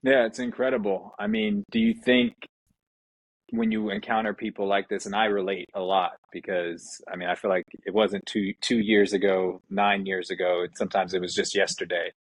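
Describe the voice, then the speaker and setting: A man's voice: low voice, level tone, moderate pace, no echo, low-quality recording. A man hosting a conversational interview, talking in an earnest, reflective way. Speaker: interviewer, podcast host.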